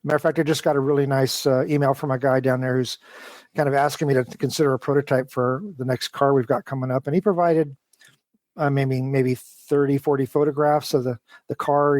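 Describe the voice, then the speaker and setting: Man giving rambling, halting answers, dry sound. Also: mid-range voice, darkish tone, dry recording. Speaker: interview guest, model train design engineer. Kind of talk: casual, detailed interview talk.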